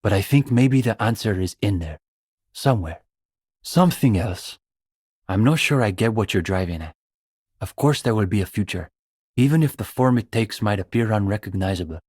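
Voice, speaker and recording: A young man voicing an earnest, bookish communist student in a video game, idealistic and a little condescending, speaking in measured, hesitant intellectual phrases. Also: fairly low pitch, lively intonation, darkish tone, slightly rough, dry recording, studio quality. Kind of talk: video game character dialogue.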